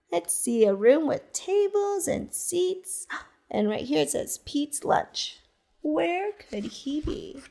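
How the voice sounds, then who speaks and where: Slow, lively woman reading a picture book. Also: high voice. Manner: slow, expressive storytelling that asks the listener questions. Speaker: teacher librarian.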